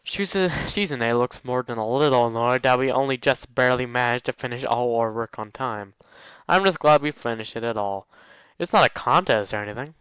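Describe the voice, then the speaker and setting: Clear-voiced man reading a game aloud. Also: medium pitch, a little fast, fairly bright tone, no echo, lo-fi sound. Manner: jokey asides while reading. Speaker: let's play host. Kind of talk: casual visual novel read-aloud with commentary.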